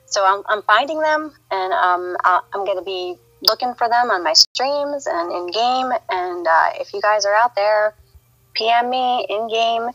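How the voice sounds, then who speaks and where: A woman's voice: mid-range voice, unhurried, fairly bright tone, lo-fi sound. A woman chatting casually on a game live stream, hesitant and full of ums and small laughs. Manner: hesitant, many ums and restarts, laughs often. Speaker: streamer and clan recruiter.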